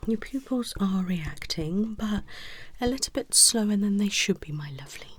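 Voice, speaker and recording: A woman whispering softly and reassuringly as a doctor in an ASMR medical roleplay, slow and gentle. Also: mid-range voice, animated, a little hiss or hum. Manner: soft, reassuring, unhurried whisper.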